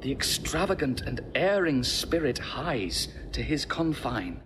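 An actor playing an earnest, scholarly watchman in classical drama, declaiming in measured verse. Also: medium pitch, sing-song, background noise. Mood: solemn. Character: earnest scholarly night watchman, a prince's loyal friend. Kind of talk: classical stage drama acting.

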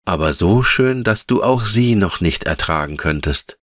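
Man voicing a gentle, wise female tree elf. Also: deep voice, animated, no echo, clean studio sound, voice pushed up, exaggerated voice. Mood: solemn, tender. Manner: measured, patient, lyrical explaining. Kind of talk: fairy-tale audiobook character.

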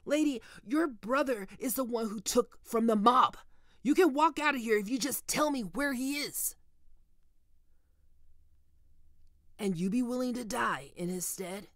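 A man's voice: very high-pitched, dry recording, studio quality. A man playing a ruthless, cocky mob boss with a protective streak in an ASMR roleplay drama, commanding and taunting. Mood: threatening. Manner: commanding, taunting, slow deliberate delivery. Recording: loud music bed under voice. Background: music.

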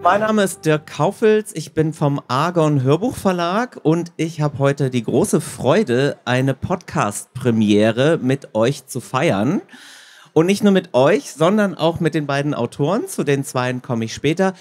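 A male host with a fairly high voice moderates a live stage talk. He speaks as a presenter into a microphone, addressing both guests and listeners. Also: lively intonation, a little slow. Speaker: host, audiobook producer. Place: stage at a book fair.

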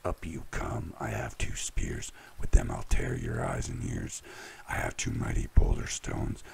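A massive storybook billy goat, acted by a man, bold and fierce. The voice drops far down into a very deep register for a slow, threatening challenge. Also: creaky, slight background noise, heavily acted.

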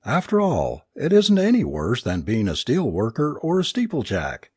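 A tense, determined man voiced by an audiobook narrator talks with wry earnestness. His pitch swings freely, and the voice is a little husky. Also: huge pitch swings, normal speed, a little mellow, no room sound, clean studio sound. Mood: resolute.

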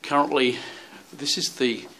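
A man talks through a handheld tour of a seaside hotel, pausing often on fillers and restarting his sentences. His voice sits in the middle of the male range. Faint background ambience runs under the camera audio. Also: medium pitch, fairly even intonation, bright, forceful tone, a little hiss or hum. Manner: rambling, many fillers and restarts. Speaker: travel vlogger. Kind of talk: handheld walking tour narration. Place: hotel rooms and seaside street.

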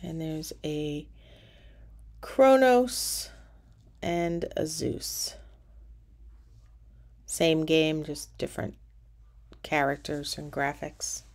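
A middle-aged woman casually narrating a walking tour of a cruise ship casino, relaxed and pointing things out as she goes. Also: low voice, drawn-out words, vocal fry, long pauses.

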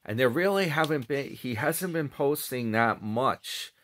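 A man's voice: medium pitch, expressive, a little slow, fairly bright tone. A middle-aged man casually chatting to camera from a small room at home, rambling and correcting himself as he goes. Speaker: commentary vlogger. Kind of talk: casual commentary on another channel's videos. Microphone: webcam or phone close up.

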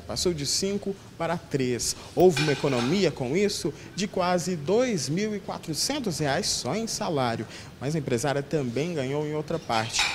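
A man's voice: fairly high pitch, noisy background. A man with a Brazilian accent narrating a scripted TV news report.

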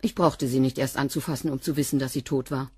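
Curt cleaning woman, crime radio play, rough voice, clipped answers, dry studio sound. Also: rumbling low, steady tone, fast, a little mellow, dry recording. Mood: blunt, guarded. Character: curt, unfazed cleaning woman with a prison past.

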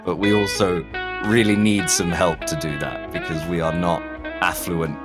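A man's voice: deep voice, fairly even intonation, moderate pace, loud music behind the voice. A man, a podcast narrator, making an informal promotional appeal in a droll manner. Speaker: podcast narrator and sound designer.

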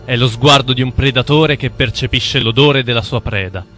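An actor playing a brooding, heroic warrior who narrates a mock epic in slow, portentous phrases. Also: male voice, medium pitch, a bit bright, natural voice. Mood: grim, proud. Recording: music under the voice.

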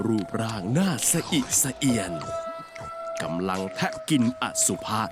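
A man's voice: lowish voice, big pitch swings, measured pace. A man narrating a scripted ghost story in a dramatic storytelling manner. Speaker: narrator of a horror cartoon series. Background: background music.

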